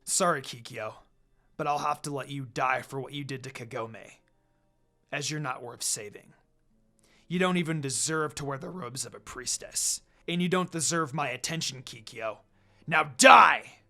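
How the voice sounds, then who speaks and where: A man voicing a hot-headed young male half-demon in an anime fan story, loud, aggrieved and confrontational over music. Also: mid-range voice, moderate pace. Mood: cold anger.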